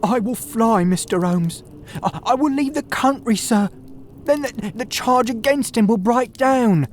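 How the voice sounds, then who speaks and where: A man voicing a terrified young male thief who begs and stammers in a high, crackling voice, with faint hiss behind. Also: measured pace. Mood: pleading. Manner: shrieking, stammering, begging.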